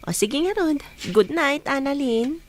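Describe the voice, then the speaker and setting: A woman's voice: high voice, lively intonation, a little slow. An actress playing a friendly, curious young woman in a radio drama, with a Filipino accent. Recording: noticeable background noise.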